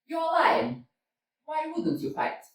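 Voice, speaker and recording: A proud, contrary widow, read by a woman on a community stage who keeps laughing between scornful orders and sudden pleas. Her voice is high and lively, delivered slowly. She is picked up from far off in an echoey theater. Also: exaggerated voice. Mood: taunting. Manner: laughs often, flips between scorn and pleading. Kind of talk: staged reading of a stage comedy. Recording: echoey hall, mic from far off.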